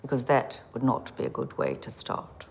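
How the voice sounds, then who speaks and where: An actress playing a haughty, prickly British princess with an upper-class English accent in a screen drama. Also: female voice, extremely low pitch, big pitch swings, moderate pace, slightly rough, exaggerated voice.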